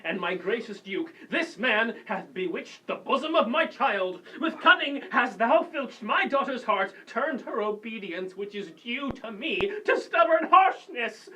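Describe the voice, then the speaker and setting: A man's voice: extremely high pitch, animated, unhurried, hardly any pauses, pushed and bright, exaggerated voice, low-quality recording. A man playing an indignant, demanding father, declaiming formally in a live Shakespeare reading.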